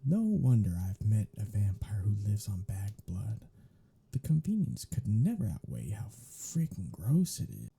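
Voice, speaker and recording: Man playing a husky, dark-voiced sardonic vampire. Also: lowish voice, wildly swooping pitch, unhurried, exaggerated voice. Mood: disgusted, grumbling. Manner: sarcastic, drawling, mocking. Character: sardonic, teasing male vampire, grumbling and irreverent. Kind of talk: intimate ASMR roleplay audio drama.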